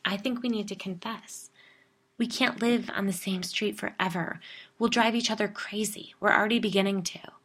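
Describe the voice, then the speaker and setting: A woman reading a story voices an anxious, defensive suburban woman who is haunted by a ghost and pleads in a high, strained voice. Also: steady tone, moderate pace, a bit bright. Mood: earnest.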